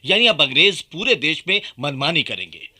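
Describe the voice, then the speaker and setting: An actor playing an earnest, indignant Indian patriot under colonial rule, speaking emphatically in a radio drama. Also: male voice, a little high, sing-song, moderate pace, bright, forceful tone, slightly rough, heavily acted. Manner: emphatic, theatrical.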